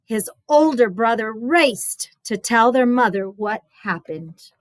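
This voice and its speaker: Lady reading a kids book out loud, slow n expressive, really lively, dry close sound. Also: a little high, no echo. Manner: expressive, dramatic, instructive. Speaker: storyteller reading to young children. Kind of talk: children's read-aloud with explanatory asides.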